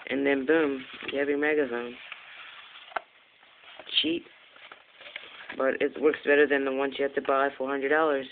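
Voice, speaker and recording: Male how-to narrator, casual and rambling, little pitch movement, lo-fi phone recording. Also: level tone, moderate pace, long pauses. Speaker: video maker giving a how-to. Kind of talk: casual improvised tutorial chat. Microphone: handheld Android phone. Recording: tinny phone-like audio, clear background noise.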